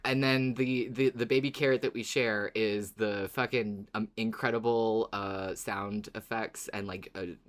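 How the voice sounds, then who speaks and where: A woman co-hosting a podcast in casual fan chat, expressive and enthusiastic, with some swearing. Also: extremely low pitch, measured pace, few pauses. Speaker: podcast co-host.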